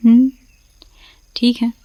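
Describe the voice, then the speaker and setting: A woman's voice: slow, mellow, close to an everyday voice, poor audio. An actress playing a guarded young woman from a broken home who speaks in a wry, poetic way, in a studio radio play. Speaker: radio drama actor.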